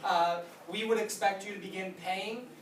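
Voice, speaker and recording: A trainer in their thirties gives a product training talk to a room and answers questions with quick yeses and nopes. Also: mid-range voice, fairly even intonation. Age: 30s. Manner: explanatory, answers questions with quick "nope" and "yep". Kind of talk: training presentation with Q&A. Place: conference room. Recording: roomy, distant mic with faint noise.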